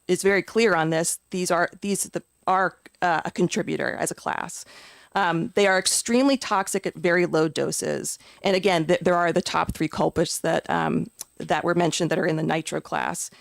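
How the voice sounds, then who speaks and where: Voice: medium pitch, creaky, a bit bright, dry recording. A witness giving earnest, emphatic testimony at a legislative committee hearing, with frequent fillers and restarts. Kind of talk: public testimony.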